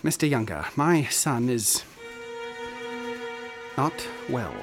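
A man's voice: medium pitch, sing-song, takes it slowly. A troubled, genteel father, faint music behind. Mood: grave, discreet. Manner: formal, restrained. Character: troubled, reserved well-to-do father, middle-aged or older. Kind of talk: radio drama acting.

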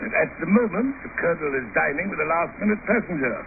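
Suave, high-voiced oily schemer. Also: male voice, animated, quick pace, vocal fry. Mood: courteous, confiding. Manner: florid, over-polite, chuckling. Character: suave, oily, falsely friendly shipping magnate of intrigue. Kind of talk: 1950 radio drama acting. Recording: hissy, tinny old radio broadcast recording.

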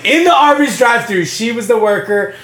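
A man hosting a podcast, chatting crudely and boastfully with friends, often loud and laughing. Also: extremely high pitch, lively intonation, a little fast. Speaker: podcast host. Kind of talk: crude casual banter among friends. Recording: echoey room with some background noise.

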